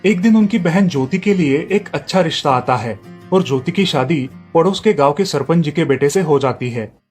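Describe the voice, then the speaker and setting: A man's voice: on the high side, expressive, normal speed, a little mellow, soft background music. A man reading scripted narration for a moral family story.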